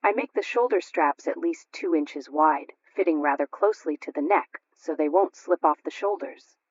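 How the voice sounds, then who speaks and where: A woman voicing a forceful, opinionated mother in a radio sketch, emphatic and self-assured. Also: sits low, normal speed, no echo. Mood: triumphant, firm. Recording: dull lo-fi radio recording.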